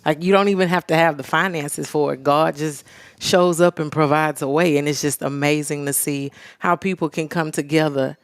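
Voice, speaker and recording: A woman from Navasota, a soft-spoken and earnest guest on a talk show. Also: low voice, fairly even intonation, normal speed, clear, resonant tone, dry recording. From Navasota, Texas. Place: studio.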